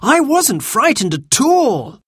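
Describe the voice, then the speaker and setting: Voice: sits high, very animated, slow, husky, dry recording, clean studio sound, pitched above the performer's own voice, full character voice. A narrator voicing a timid, earnest little girl in a storybook, with a plaintive manner. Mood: eager.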